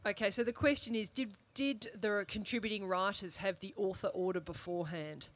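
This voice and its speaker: Female panel moderator, mid-pitched, chatty and jokey, informal live event delivery. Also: steady tone, a little fast. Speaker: host moderating a festival panel. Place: live event room with an audience.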